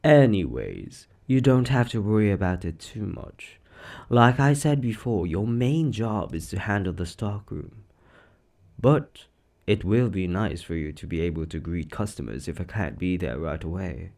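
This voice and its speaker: A man playing an upbeat, quirky boss of a mysterious shop, chatting casually in an animated, hesitant way in a roleplay audio. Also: sits low, a little slow, vocal fry, exaggerated voice. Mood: easygoing, instructive.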